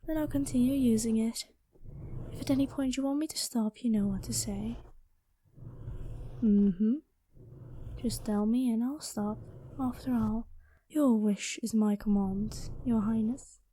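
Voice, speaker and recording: A woman voicing a gentle, lovestruck dragon queen in an ASMR roleplay, speaking softly and intimately with formal courtly phrasing, often slipping into whispers, close on the microphone. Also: on the high side, fairly even intonation, measured pace, pauses often, slight background noise, close to an everyday voice. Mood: tender, affectionate. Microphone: close microphone, dry sound.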